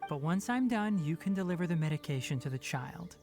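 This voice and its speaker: Casting: young male ranger scholar for an anime game, calm, articulate, expressive pitch, music underneath. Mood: matter-of-fact. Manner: measured, articulate, matter-of-fact. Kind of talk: anime video game voice acting.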